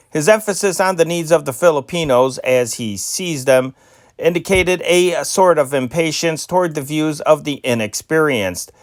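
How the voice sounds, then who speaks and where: A man's voice: on the high side, no echo, clean studio sound. A man hosting a channel, reading old newspaper stories aloud in an amateur way with occasional stumbles and restarts. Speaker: newspaper reader and channel host.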